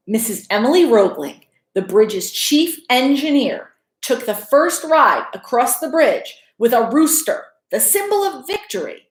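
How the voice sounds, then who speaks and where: Female school principal, higher-pitched voice, unhurried storybook read-aloud with teacherly asides, recorded in a roomy space. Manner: expressive, teacherly, checks in with questions. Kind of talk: picture book read-aloud with asides to children. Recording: echoey room.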